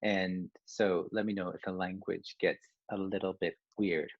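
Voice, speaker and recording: Canadian male coach, low husky voice, dry close sound, chatty and loose, many asides. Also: flat delivery, a little slow, no echo, lo-fi sound. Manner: conversational, with frequent restarts and asides. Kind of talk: online workshop presentation.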